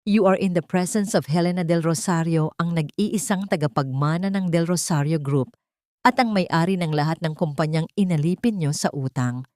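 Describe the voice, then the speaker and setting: A woman's voice: on the low side, normal speed, a little mellow, no echo, studio quality, natural voice. A woman voicing a cold, authoritative man who protects an heiress in a story. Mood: cold, commanding.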